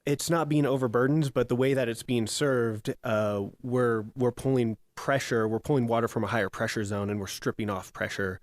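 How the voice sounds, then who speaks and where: A man with a raspy voice, a city utilities manager, explaining a water project at a public meeting with many fillers. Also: mid-range voice, normal speed, few pauses, no room sound. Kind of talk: answering questions at a public meeting.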